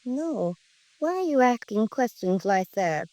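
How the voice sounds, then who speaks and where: An actress playing an anxious, plain-spoken middle-aged housewife in a 1950s radio police drama. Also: female voice, on the high side, sing-song, a little slow, darkish tone, dry recording. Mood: worried, insistent. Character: small middle-aged housewife, anxious about her missing husband.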